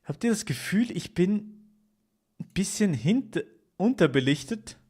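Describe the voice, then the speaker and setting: A man's voice: sits high, big pitch swings, measured pace, darkish tone, slightly rough. A young man from Switzerland, streaming live and chatting casually with his viewers, jokey, repeating himself and teasing the chat.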